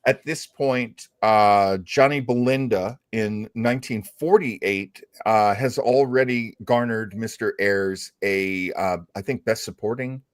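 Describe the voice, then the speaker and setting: A middle-aged or older American man casually hosting a discussion, measured and halting, often pausing mid-sentence. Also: fairly low pitch, no echo, clean studio sound. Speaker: host of an old-time radio discussion show.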